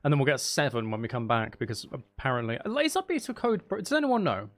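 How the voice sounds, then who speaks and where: A man's voice: mid-range voice, huge pitch swings, fast. A man giving casual gameplay commentary to viewers, thinking aloud and questioning himself in a wry, joking way. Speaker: streamer, host of the channel.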